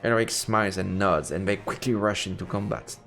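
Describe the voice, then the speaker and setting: Casting: male game streamer as dramatic narrator, low gravelly voice reading a fantasy visual novel. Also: steady tone, brisk, a bit bright. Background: faint music.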